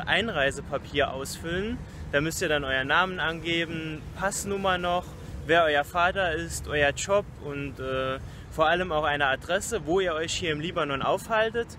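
Young man, higher-than-average voice, informal travel vlog delivery, noisy open-air recording. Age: young adult. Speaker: travel vlogger. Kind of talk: casual vlog talk to camera. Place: outdoors on a sunny waterfront promenade. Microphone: camera or phone at arm's length. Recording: noisy outdoor recording, city and traffic ambience.

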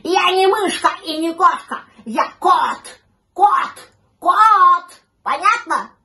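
A woman as an indignant, shouting tomcat, high and swooping. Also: expressive, slow pace, roomy sound, exaggerated voice. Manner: shouting, emphatic. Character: indignant, bossy adult tomcat, quick to anger. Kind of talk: children's storybook reading.

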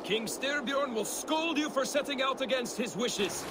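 A coarse, teasing Viking sailor. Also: male voice, very high-pitched, animated, a little slow. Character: gruff male Viking crewmate, blunt, teasing. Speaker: game character. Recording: game audio under loud sea noise.

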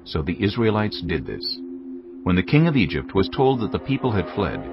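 Brief: male narrator, very deep voice, grave and measured, loud score, muffled. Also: very animated. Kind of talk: scripted Bible narration. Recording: dull sound under loud music.

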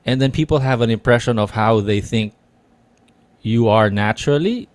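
A man's voice: mid-range voice, normal speed, no echo. A man from the Philippines whose first language is Tagalog, co-hosting a casual podcast over a video call, thinking aloud with many restarts. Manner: reflective, circling back with restarts. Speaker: podcast co-host. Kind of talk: casual chat. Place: small room with dark curtain, indoors. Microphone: headset and studio mic on video call.

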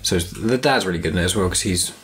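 A man's voice: low-pitched, fast talker, a bit bright, slight background noise. A young British man hosting a podcast, chatting casually about films in a rambling way that trails off mid-thought. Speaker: podcast host. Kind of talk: casual film review chat.

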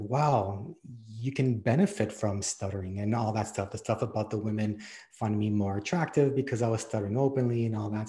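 An easygoing man in his forties who stutters openly talks through an interview at a middle pitch. His speech is casual and rambling and drifts off on tangents, broken up by laughter. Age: 40s. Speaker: podcast host and stuttering advocate.

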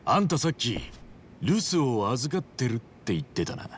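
A man voicing a brash, hot-blooded, good-humoured hero in a video game, talking in rough, punchy street slang. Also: medium pitch, very animated, moderate pace, slight background noise, lightly acted. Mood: measured, wry. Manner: rough, informal, punchy street-tough phrasing. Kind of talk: dramatic video game voice acting.

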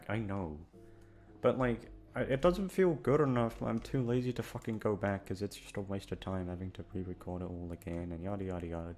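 A man's voice: fairly low pitch, a little mellow. A young man chatting casually and aimlessly to his listeners, self-deprecating, hesitant and sweary. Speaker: audio creator and voice actor. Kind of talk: rambling casual chat to listeners.

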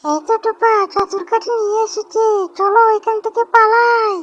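A woman's voice: extremely high pitch, little pitch movement, moderate pace, dull audio, soft background music. A woman voicing a small, plucky parrot in a children's cartoon, eager and exclamatory. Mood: excited, urgent. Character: small high-voiced parrot, plucky avenger of its forest.

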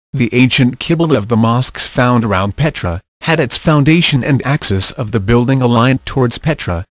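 A man narrating a scripted slide presentation, reading scripture quotes in a measured, steady way. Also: mid-range voice, no room sound.

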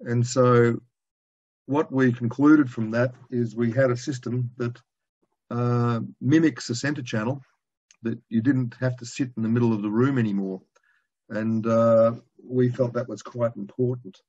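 A man in his sixties giving an informal technical presentation over a video call from a room at home, speaking in a halting, colloquial, conversational way. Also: medium pitch, steady tone, a little mellow, breathy edge. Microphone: video call, computer microphone. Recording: dull lo-fi video call audio.

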